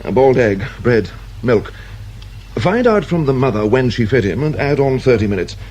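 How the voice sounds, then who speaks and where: An actor playing a genial, unflappable and self-satisfied pathologist with a British accent, breezy and avuncular in radio drama style. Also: male voice, mid-range voice, expressive, normal speed, darkish tone, highs cut off, natural voice. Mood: matter-of-fact. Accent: British English. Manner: breezy, avuncular, matter-of-fact. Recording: hissy old radio drama recording.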